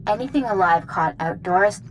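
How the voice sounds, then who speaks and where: An actress playing a composed, resolute military commander in a video game, giving measured, earnest briefings. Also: female voice, medium pitch, expressive, slight background noise, close to an everyday voice. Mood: grave, briefing.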